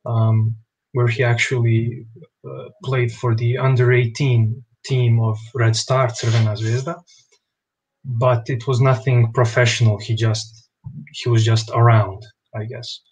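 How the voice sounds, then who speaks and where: Young bosnian guy on a video call, calm, lots of "you know". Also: medium pitch, measured pace, breathy edge, rough recording. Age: young adult. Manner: relaxed, thoughtful, "you know" fillers. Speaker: basketball player guest. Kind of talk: interview over video call. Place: small home room.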